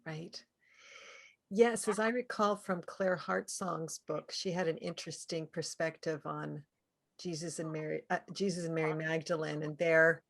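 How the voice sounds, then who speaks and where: A woman's voice: low voice, creaky. A woman interviewer chatting casually about spiritual matters in a tentative, musing way.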